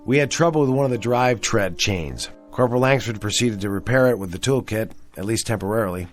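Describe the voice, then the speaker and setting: An actor playing a seasoned, level-headed army tank sergeant in a radio drama, measured and plain-spoken. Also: male voice, lowish voice, faint music behind, natural voice. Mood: apologetic, formal.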